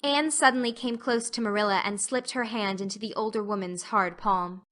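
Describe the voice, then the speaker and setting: A woman's voice: medium pitch, fairly even intonation, normal speed, a bit bright. A woman reading scripted audiobook narration of a classic story.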